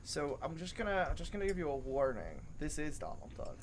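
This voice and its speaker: A person doing improvised comedy banter in an exasperated, excitable way. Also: mid-range voice, brisk, crowd noise.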